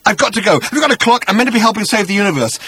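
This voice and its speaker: A man's voice: sits high, animated, rapid-fire, sharp tone, breathy edge, pitched above the performer's own voice, full character voice. A man doing a flustered pleading young man. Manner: pleading, nervous.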